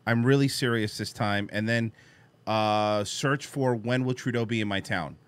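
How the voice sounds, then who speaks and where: A man co-hosting a podcast in casual chat, dropping quick joking interjections. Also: fairly low pitch, clean tone. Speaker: podcast co-host. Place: home studio with red curtain.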